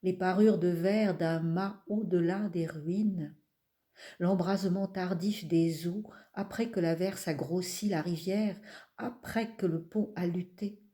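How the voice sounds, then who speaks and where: A woman poet reading her own poems aloud in a calm, measured way, pausing between phrases. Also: on the low side. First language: not French. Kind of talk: slow poetry reading.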